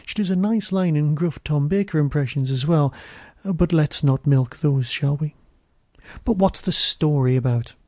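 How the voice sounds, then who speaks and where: A man's voice: on the high side, moderate pace, dark tone, dry recording, studio quality. A man hosting a podcast, giving a review in a conversational style. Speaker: podcast host.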